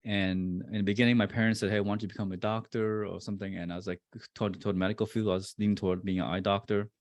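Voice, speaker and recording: A man in his forties, a real estate investor, answering interview questions in a halting way with many fillers and restarts. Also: lowish voice, flat delivery, no room sound. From born in Vietnam, raised in New Jersey.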